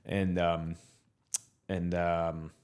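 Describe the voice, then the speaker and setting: A man's voice: deep voice, little pitch movement, extremely slow, a little mellow, clear, resonant tone. A man in his thirties, born in Morocco, talking candidly and bluntly as a podcast guest, with frequent restarts and fillers. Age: 30s. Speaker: guest entrepreneur. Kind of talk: casual podcast interview.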